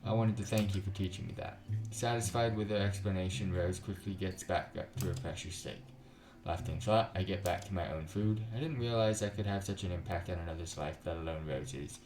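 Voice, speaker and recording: A man's voice: low voice, fairly quick, echoey room, slight background noise. A man narrating a visual novel for a let's play, breaking off into joking asides. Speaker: video game streamer. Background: soft background music.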